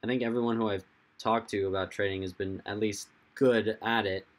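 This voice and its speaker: Hoarse young man hosting a livestream. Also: on the low side, fairly even intonation, fairly quick. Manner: rambling, answers chat comments as they come in. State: hoarse, losing his voice. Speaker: livestream host. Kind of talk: casual livestream chat answering viewers.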